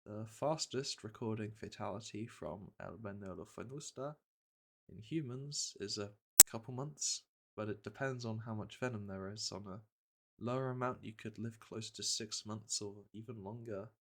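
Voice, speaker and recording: A man playing a gentle veteran monster hunter in a fantasy ASMR roleplay, speaking softly and reassuringly, with a hesitant, matter-of-fact manner. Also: on the low side, moderate pace. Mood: explaining, sober. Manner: soft, hesitant, soothing delivery.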